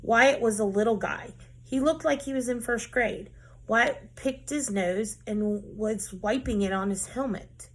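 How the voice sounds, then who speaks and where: Give me a woman teacher reading a children's chapter book aloud. Her voice is a little high with a gravelly texture, and she tells the story in an expressive, lively way. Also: measured pace.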